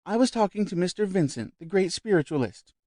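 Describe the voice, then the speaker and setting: Shrill gushing society lady, as a man puts her on. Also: animated, fairly quick, dark tone, no room sound, clean studio sound. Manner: vivacious, shrill and effusive. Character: gushing middle-aged female society spiritualist.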